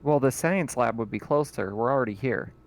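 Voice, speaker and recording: A man's voice: medium pitch, fairly quick. Man chatting as a tabletop player. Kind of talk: casual game-table chat.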